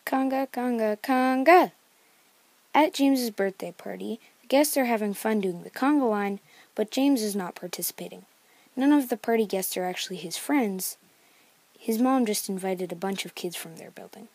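A woman's voice: medium pitch, normal speed, darkish tone, dry recording. A woman reading scripted narration for a homemade book report. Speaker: narrator of a novel study video.

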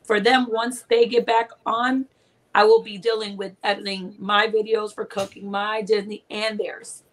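A woman's voice: mid-range voice, flat delivery, moderate pace. A woman in her forties from Puerto Rico, a guest chatting casually on a live video call and telling stories with lots of laughs. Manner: chatty, laughs often, tells stories with quoted asides. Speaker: guest cooking and Disney channel creator. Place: small home room indoors. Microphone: video call from a webcam.

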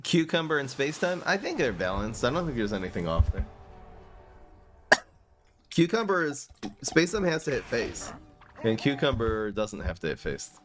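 A man's voice: mid-range voice, very animated, moderate pace, pauses often, a bit bright, faint music behind. A man in his thirties casually commentating his card game on a live stream, joking and rambling as he plays. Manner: joking, rambling, often laughs at himself. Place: small room indoors.

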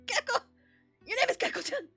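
Webtoon teacher played by a woman: brisk, emphatic, bright and lively, easily alarmed. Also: very high-pitched, vocal fry, soft background music, pitched above the performer's own voice, exaggerated voice. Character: brisk, cheery female schoolteacher, easily alarmed. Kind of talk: amateur live webtoon dub.

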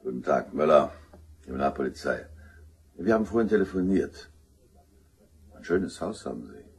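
A measured male detective in a crime radio drama. He is polite and matter-of-fact, with a deep, slightly rough voice. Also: animated, darkish tone, exaggerated voice. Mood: polite, composed.

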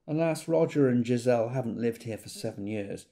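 A man's voice: medium pitch, animated, brisk, gravelly, warm tone. A man playing a polite, formal correspondent voicing a letter in an audio drama.

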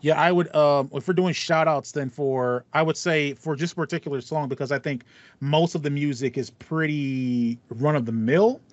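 A man's voice: a little high, moderate pace, no echo. A man in his thirties hosting a podcast in casual chat, thinking aloud and repeating phrases as he goes. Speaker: podcast host. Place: small home room with foam panels, indoors. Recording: dull, lo-fi voice call sound.

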